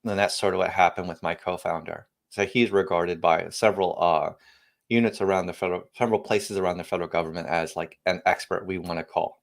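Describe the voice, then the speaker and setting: Very low male voice; tech-company founder in interview, loose and emphatic, many self-corrections. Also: fairly even intonation, a little fast, vocal fry. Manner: rambling, frequent restarts, emphatic bursts. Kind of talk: podcast interview, casual technical talk.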